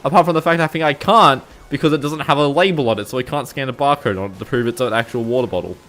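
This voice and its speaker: A man's voice: medium pitch, fast talker, a bit bright. Young Australian male streamer, rambling and chuckly, mid-range voice, faint music underneath. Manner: rambling, chuckles often, lots of fillers. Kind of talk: casual chat with livestream viewers.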